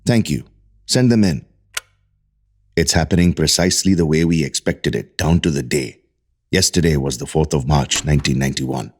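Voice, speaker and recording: A man's voice: rumbling low, normal speed, darkish tone. A man playing a grave, secretive research doctor in an audio drama. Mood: measured.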